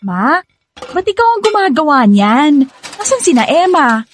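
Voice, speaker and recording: A woman's voice: high voice, very animated, unhurried, a little hiss or hum. A Filipino woman playing a sweet, caring young stepdaughter in a radio drama, affectionate and cheerful.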